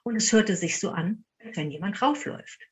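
A woman's voice: mid-range voice, animated, normal speed. A woman speaking as a guest paranormal investigator, casually telling stories and acting out quoted dialogue. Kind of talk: casual anecdotal storytelling.

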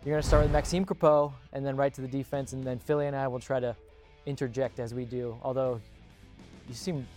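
Female sports show host, very low voice, teasing and conversational, faint music underneath. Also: little pitch movement, brisk, a little mellow. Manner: playful, teasing banter. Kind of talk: casual sports panel chat.

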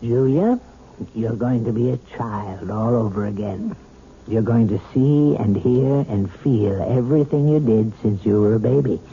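An actor playing a calm male hypnotist who talks in a soft, measured, persuasive way, in a 1970s radio drama. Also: lowish voice, slight background noise. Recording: tinny old radio broadcast with music.

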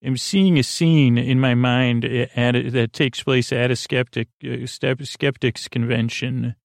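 A man hosting a bedtime podcast in a meandering, halting monologue, often repeating himself and backtracking mid-thought, with a gravelly voice. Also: medium pitch, fairly even intonation, dry recording, clean studio sound. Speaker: podcast host.

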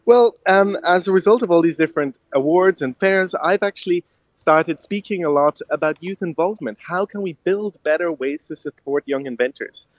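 A man's voice: on the high side, moderate pace, dry recording. Young irish guy chatting in a radio interview, eager, laughs a lot, kinda muffled dry sound. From Ireland. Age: young adult. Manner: eager, friendly, laughs easily. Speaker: guest inventor.

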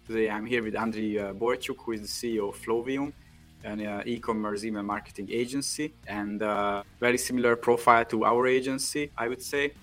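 A man's voice: lowish voice, steady tone, fairly quick, fairly bright tone, soft background music. A man hosting a podcast interview in a casual chat, with many hesitant fillers. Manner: many "uh" fillers, loose phrasing. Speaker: podcast host.

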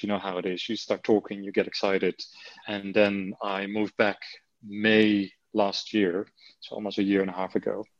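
A man's voice: fairly low pitch, dry recording. Dutch-accented man, chatty, lo-fi audio. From Netherlands. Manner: relaxed, chatty, frequent fillers. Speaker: marketing executive guest. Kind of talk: conversational interview.

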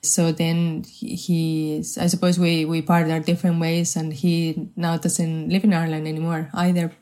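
A woman in her forties from Spain with a Spanish accent, speaking measured and hesitantly as a guest in a podcast interview. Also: sits low, level tone, warm tone. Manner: measured, hesitant, many restarts. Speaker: guest, health research manager.

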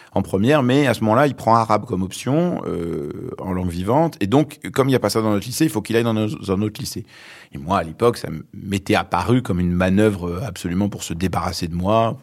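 A man in his forties, born in Lebanon, telling his own story in an interview with many pauses and hesitations. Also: sits low, husky. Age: 40s. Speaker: author and journalist guest.

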